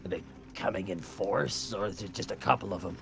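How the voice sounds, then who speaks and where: A man's voice: low voice, a little fast, creaky, faint music behind. A man playing a tabletop game, chatting casually with a sardonic, flippant manner.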